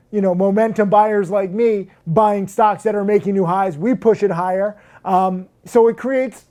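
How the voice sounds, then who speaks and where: Brash, emphatic man talking trading. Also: sits high. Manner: brash, emphatic, gets loud and worked up; crude jokes, fillers like "um" and "like". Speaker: stock trading educator. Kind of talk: casual to-camera talk.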